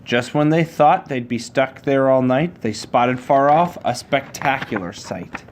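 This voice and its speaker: A man reads a rhyming picture book aloud as a father would to his child. His pitch is average and his delivery measured, with some background noise on the home recording.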